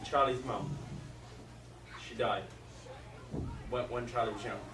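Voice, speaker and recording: A distant voice in an echoey, noisy room: a man acting a lonely widowed dad of middling pitch. He is awkward and self-effacing, stumbling gently over his words in a stage play. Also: steady tone, slow pace, natural voice. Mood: wistful. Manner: hesitant, stammering, self-effacing. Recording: echoey room from far off, loud noise.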